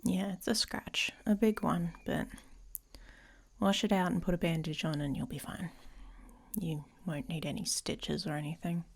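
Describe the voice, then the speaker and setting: A woman playing a grumpy, guarded female werewolf in an intimate ASMR roleplay, speaking in a halting, breathy, often whispered murmur. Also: lowish voice, fairly even intonation. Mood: dry, caring.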